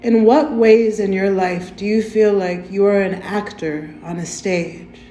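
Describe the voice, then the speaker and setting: A woman leading a guided meditation in a gentle, soothing, unhurried way, softly inviting the listener to breathe. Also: medium pitch, steady tone. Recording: roomy echo with faint music.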